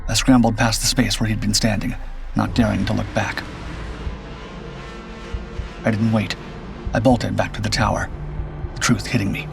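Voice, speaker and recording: A man tells a suspense story in a grave, measured way. His voice is low and gravelly with fry, and his intonation stays very even. Music plays clearly behind him. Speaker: horror story narrator. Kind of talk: scripted first-person suspense narration. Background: background music.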